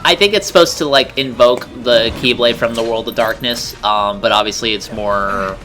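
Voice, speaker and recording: A man's voice: medium pitch, normal speed, bright, forceful tone, soft background music. A man doing casual video game co-commentary, joking, blunt and sardonic. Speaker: let's play commentator.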